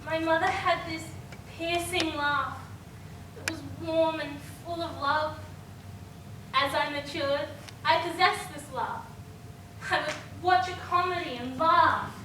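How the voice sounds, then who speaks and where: Girl acting as anguished young woman, high voice, slow dramatic stage monologue, really echoey noisy room. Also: steady tone, far from the mic, exaggerated voice. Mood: pained recollection. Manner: halting, dramatic pauses, swinging from hushed to screamed. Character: anguished young woman recounting her father's abuse.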